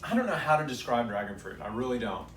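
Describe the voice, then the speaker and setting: A man's voice: mid-range voice, very animated, fast, fairly bright tone. An American man hosting a casual fruit tasting on camera, talking informally and with enthusiasm. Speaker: host. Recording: echoey room, distant mic, faint noise.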